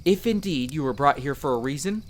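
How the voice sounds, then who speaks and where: A man's voice: on the high side, animated, brisk, a little hiss or hum, lightly acted. A man playing a friendly young elf explorer in a roleplay audio drama, talking conversationally and earnestly to the listener in a self-deprecating way. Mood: earnest, reassuring. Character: friendly young male elf explorer, modest half-outsider mage.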